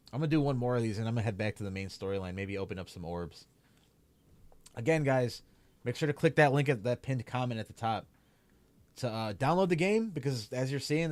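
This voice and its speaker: A man's voice: mid-range voice, no echo. A young man with a Chicago accent chatting casually to his stream while gaming, rambling with lots of "like" and "man". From Chicago area. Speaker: streamer and YouTuber.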